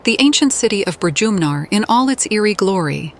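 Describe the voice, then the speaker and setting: A woman's voice: medium pitch, animated, a little fast, slight background noise. A woman narrating a fantasy game playthrough in character, as the adventurer she plays. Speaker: gaming video narrator playing a character.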